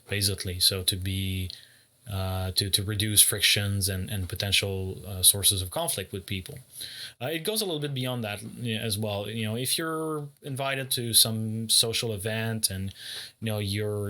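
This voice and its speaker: A man with a booming voice giving a casual explanatory talk as a martial arts vlogger, thoughtful, with many pauses and self-corrections. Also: sharp tone.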